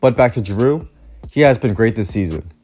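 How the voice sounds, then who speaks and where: A man's voice: lowish voice, expressive, moderate pace, warm tone, husky, faint music behind. A young man reading scripted basketball commentary from a prepared text, his voice still a bit off after the flu. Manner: reads a prepared script with stat lists, upbeat. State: recovering from the flu, voice slightly off. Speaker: sports commentary YouTuber.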